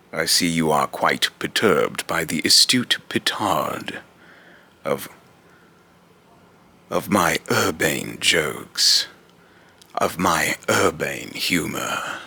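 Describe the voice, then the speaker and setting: A man voicing a menacing, scornful god of fear as a video game villain, pompous and theatrical, with a very deep, gravelly voice. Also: bright, forceful tone, heavily acted.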